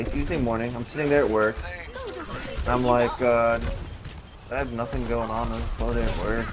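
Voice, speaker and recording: Male streamer, mid pitch, slangy storyteller who acts out dialogue, music playing underneath. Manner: slangy, profane, quotes people in dialogue. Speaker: streamer telling a personal story while gaming. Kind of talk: casual chat, animated anecdote. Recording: music behind the voice. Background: music, video game play.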